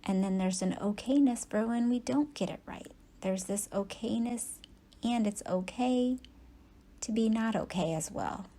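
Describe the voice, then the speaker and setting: A woman teaches guided meditation at a very slow pace, leaving long pauses between short phrases. Her voice is mellow and relaxed, with a soft, husky breathiness. She gives gentle instructions without hurry. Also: medium pitch.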